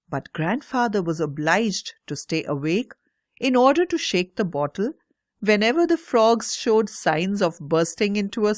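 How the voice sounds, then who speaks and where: A woman narrating a scripted children's story in a measured, carefully enunciated read-aloud manner. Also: medium pitch, dry recording, clean studio sound.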